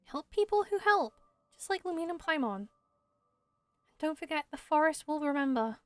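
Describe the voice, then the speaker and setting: A woman voicing a gentle, caring young woman from a game, soft and reassuring though weakened. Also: high voice, lively intonation, moderate pace, dry recording. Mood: gentle, earnest. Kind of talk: fan voice-over of game dialogue.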